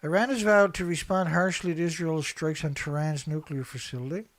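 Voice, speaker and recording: A man with a slightly high voice delivers opinionated news commentary. He speaks bluntly and colloquially, hammering points by repeating them. It sounds like an unscripted online show where he reads headlines and reacts. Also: fairly even intonation, a little fast. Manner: emphatic, repetitive, blunt, colloquial. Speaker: online commentator and activist host.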